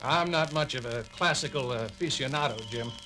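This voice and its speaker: An actor playing a folksy, skeptical starship doctor with a familiar, wry manner in a 1970s audio drama on a vintage record. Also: male voice, mid-range voice, moderate pace, music in the background, lightly acted. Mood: musing.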